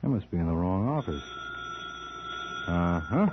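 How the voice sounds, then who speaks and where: A man's voice: low voice, very animated, measured pace. A man playing a jaunty, offhand, wisecracking lawyer hero in an old radio crime drama. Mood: breezy. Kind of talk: 1940s-50s radio crime drama acting. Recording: tinny, crackly old radio recording.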